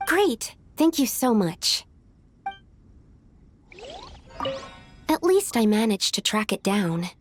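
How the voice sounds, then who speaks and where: A woman's voice: a little high, very animated, measured pace, music in the background. A woman playing an earnest, polite and mildly timid young alchemist in a video game. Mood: relieved, grateful.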